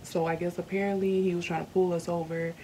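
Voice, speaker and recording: A young woman, speaking at home to her camera. Her voice sits low and dark, and her delivery is candid and earnest, slowed by feeling and full of small hesitations. A faint hiss runs under the recording. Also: level tone, low-quality recording. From Southern United States. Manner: earnest, candid, slowed by emotion, many ums. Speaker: YouTuber. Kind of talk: personal vlog storytelling. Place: indoors at home.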